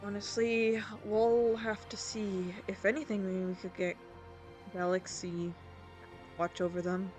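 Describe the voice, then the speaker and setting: Woman acting determined, protective older sister, middle register, commanding, dryly wry, amateur game roleplay, soft background music. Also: medium pitch, flat delivery, a little slow, natural voice. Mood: resolute.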